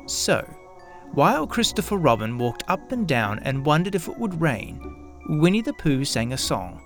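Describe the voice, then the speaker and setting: A man narrating a scripted children's story in an expressive, measured storytelling manner. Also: on the high side, soft background music.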